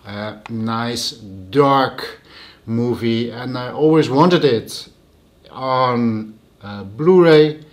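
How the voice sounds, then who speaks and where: A man hosting a casual show-and-tell vlog at home, plain and matter-of-fact about his purchases. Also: medium pitch. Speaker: host.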